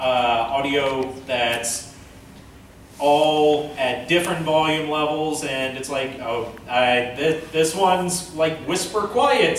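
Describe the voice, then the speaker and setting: Very echoey, distant man presenting a panel. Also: on the high side. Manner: casual, explanatory step-by-step delivery. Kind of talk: instructional convention panel talk. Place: indoor convention panel room, recorded from a distance. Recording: very echoey room, distant mic, faint noise.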